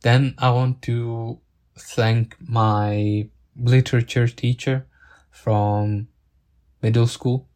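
A young man who is not a native English speaker gives an earnest thank-you monologue, halting and searching for words as he goes. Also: medium pitch, flat delivery, darkish tone. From outside the UK. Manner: earnest and grateful.

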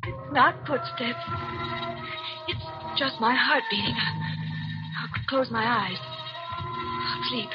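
An actress playing a terrified young woman haunted by dread, halting and repetitive in melodramatic 1940s radio suspense style. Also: high voice, expressive. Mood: fearful. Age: 20s. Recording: dull old-time radio recording, faint music.

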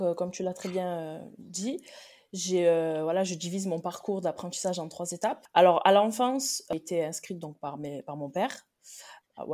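Low-voiced young woman, hesitant. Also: darkish tone. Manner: hesitant, thinking aloud with fillers and restarts. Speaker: guest, graduate student. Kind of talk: casual interview answers.

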